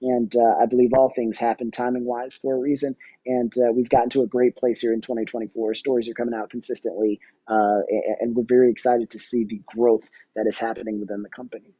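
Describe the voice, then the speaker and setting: A man speaking as a podcast guest and entrepreneur, reflective and measured, pausing often as he explains things in an interview. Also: mid-range voice, little pitch movement, mellow, slightly rough, no echo. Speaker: podcast guest, company founder. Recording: dull, slightly lo-fi audio.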